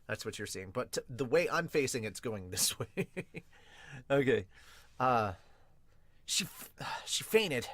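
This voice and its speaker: A man hosting a casual manga reaction podcast, talking in an excitable, rambling way. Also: medium pitch, a little slow, pauses often, no echo. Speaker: podcast host and manga reviewer. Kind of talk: casual reaction commentary with read-aloud.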